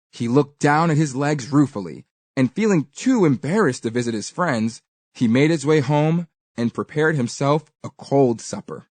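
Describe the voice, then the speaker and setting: A woman reading a children's story aloud with expressive, deliberate and dramatic emphasis. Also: extremely low pitch, no echo.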